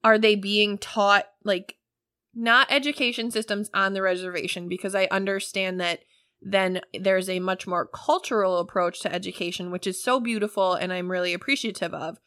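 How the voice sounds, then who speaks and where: A woman's voice: mid-range voice, fairly even intonation, normal speed, a bit bright, no room sound, clean studio sound. A woman hosting a casual podcast chat, emphatic and blunt, with the odd swear word. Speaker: podcast host.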